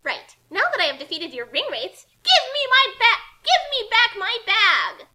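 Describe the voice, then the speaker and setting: Woman voicing loud, high-pitched, indignant young heroine. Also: big pitch swings, moderate pace, exaggerated voice. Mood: demanding, indignant. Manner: shouty, over-the-top, indignant. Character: furious, ditzy daughter of Discord, loud young heroine.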